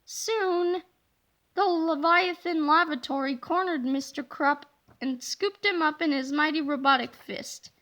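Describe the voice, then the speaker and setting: Bright, high-voiced woman reading a story. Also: unhurried. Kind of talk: animated children's book read-aloud.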